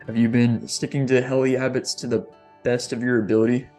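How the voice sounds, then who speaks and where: A man, a fitness coach, leading a guest's guided meditation on a podcast, slow and deliberate. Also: medium pitch, flat delivery, warm tone, faint music behind.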